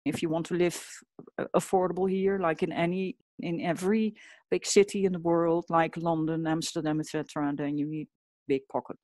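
Dutch-accented woman, low and earnest. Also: flat delivery, brisk, darkish tone, no room sound. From Netherlands. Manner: earnest, reflective, slightly non-native phrasing. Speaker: guest artist. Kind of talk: podcast interview.

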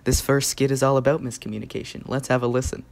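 An actress playing a jokey co-host in scripted comic radio hosting. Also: female voice, a bass voice, flat delivery, quick pace, vocal fry, darkish tone, heavily acted.

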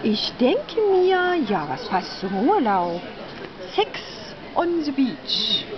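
A woman's voice: on the high side, sing-song, slow. A woman in her thirties presenting a hotel tour to a handheld camera in a busy restaurant and bar, in a polite, showy presenter tone. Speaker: vlogger giving a hotel tour. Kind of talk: chatty presenter narration to camera. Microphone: handheld camera mic. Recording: dull camera audio, loud crowd. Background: loud crowd chatter.

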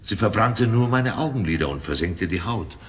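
An actor playing a brave, resolute Russian courier of the Tsar in a German radio play, solemn and declamatory. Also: male voice, fairly low pitch, animated, normal speed, close to an everyday voice. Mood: solemn, composed.

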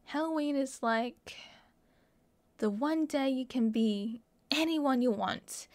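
A young woman with an Australian accent playing an excitable, mischievous college friend in a casual audio roleplay. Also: high-pitched, slow pace. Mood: enthusiastic. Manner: playful, giggly, slangy. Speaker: roleplay voice performer. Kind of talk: ASMR roleplay acting, casual chat to a friend.

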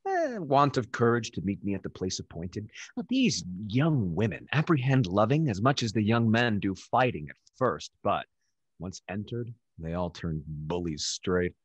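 An actor playing a vain, cynical young bachelor rake in a Restoration stage comedy, haughty and dry, over a video call. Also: male voice, fairly low pitch, sing-song, moderate pace, slightly rough, dry recording, muffled recording. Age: young adult.

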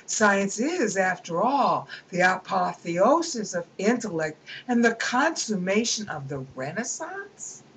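A woman's voice: mid-range voice, big pitch swings, slow pace, few pauses, a bit bright, a little hiss or hum, rough recording. A woman reading a book aloud at her computer and breaking off into emphatic, scornful commentary. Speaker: reader and commentator.

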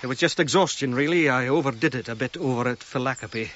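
An actor playing a keen, self-assured archaeologist in a radio drama, talking in a brisk, informal, hearty way. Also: male voice, mid-range voice, a bit bright, lo-fi sound, a little hiss or hum, close to an everyday voice. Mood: defensive, upbeat.

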